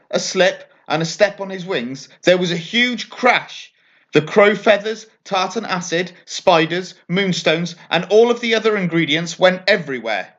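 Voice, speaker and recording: A man reading a children's bedtime story aloud as an expressive narrator. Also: a little high, muffled recording.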